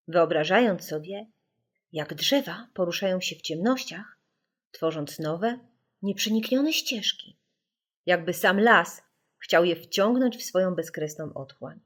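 A woman's voice: fairly low pitch, lively intonation, measured pace. A woman reading a children's audiobook in a theatrical, suspenseful narrating style. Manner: theatrical, suspenseful, dropping into whispers. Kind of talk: dramatic scripted narration of a children's spooky story.

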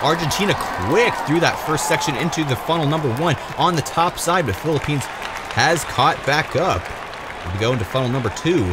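A male commentator calls a race play by play in a medium-pitched voice. A loud crowd and heavy background noise run behind him throughout. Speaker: sports commentator for marble races. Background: loud crowd and ambient noise.

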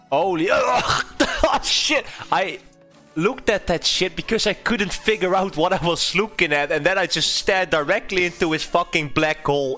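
A young man, his voice bright and somewhat high, talks through his game in loose, casual commentary. He swings up and down in tone, curses freely and reacts with quick amusement, while soft music plays behind him. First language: Dutch. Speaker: streamer.

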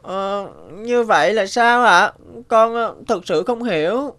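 A woman voicing an anxious, questioning young bird in an animated folk tale, earnest and fretful. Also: medium pitch, slow pace. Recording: music behind the voice.

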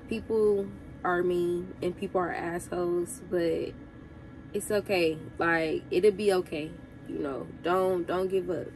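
Young woman, congested from a cold, lowish voice, chatty vlog advice, faint background hum. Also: poor audio. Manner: relaxed, chatty, addresses viewers as y'all. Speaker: truck driver vlogger.

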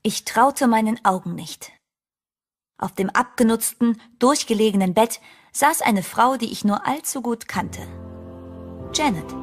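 A woman's voice: on the high side, measured pace. A woman narrating a German horror radio drama in the first person, as a tense, bewildered storyteller. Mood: tense, astonished. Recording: music under the narration.

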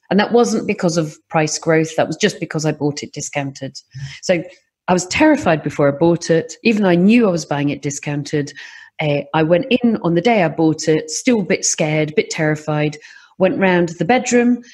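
Brief: middle-aged woman, English accent, husky and lowish, lively and animated podcast guest. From Scotland. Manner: lively, animated storytelling with laughs. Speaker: property investor guest. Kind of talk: podcast interview.

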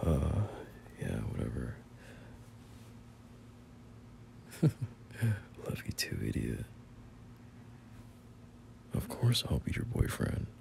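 A young man playing a bashful, teasing friend turned boyfriend in an intimate roleplay audio, speaking in halting, murmured slang. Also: a bass voice, vocal fry, dark tone, slight background noise, exaggerated voice. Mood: tender, teasing.

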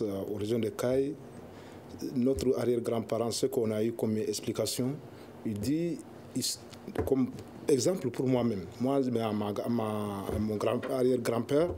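A young man, an Arabic speaker talking in French as an association spokesman on a studio panel, halting and searching for words. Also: mid-range voice, warm tone, slight background noise. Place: television studio.

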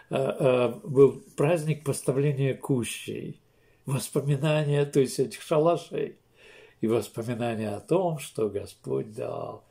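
Older guy pastor, slow emphatic sermon, seated in a small room close to the cam. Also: medium pitch, vocal fry, mellow. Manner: slow, emphatic preaching with many pauses and restarts.

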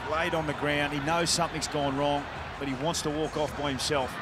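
A man's voice: fairly high pitch, flat delivery, brisk. Analytical commentator man. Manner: analytical, explaining replays. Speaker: expert match commentator. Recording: loud stadium noise.

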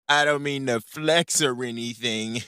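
A nineteen-year-old man narrating an informal animated story about himself with chatty, self-aware asides. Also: medium pitch, slow pace, clean tone, no room sound, studio quality.

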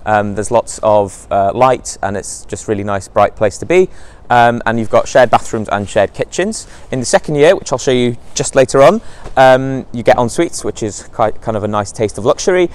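A man's voice: medium pitch, lively intonation, moderate pace, darkish tone, smooth. A young man from Wales, giving a casual, upbeat walking tour of his college on a lapel mic. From North Wales. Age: young adult. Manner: upbeat, chatty, many ums and likes. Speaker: college outreach officer. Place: college campus, outdoors and indoor halls.

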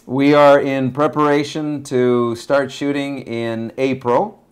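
A man in his forties, raised in Argentina with Spanish as his first language, talks calmly and casually at a medium pitch. He speaks slowly and thoughtfully, often pausing with "uh" as he picks his words. He was recorded in a small room indoors by a camera across the table. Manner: relaxed, measured, frequent hesitations. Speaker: director, interview guest. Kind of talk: casual interview talk.

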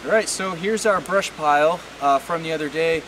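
A man's voice: a little high, moderate pace, music in the background, poor audio. A man in his thirties talking informally and plainly, explaining things like a farmer hosting a casual vlog. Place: outdoors on a farm. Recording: clear background noise, hiss.

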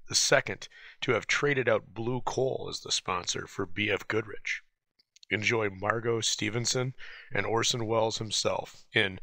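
Gravelly, bright-edged male host. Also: fairly low pitch, no echo. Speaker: show host. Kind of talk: scripted introduction.

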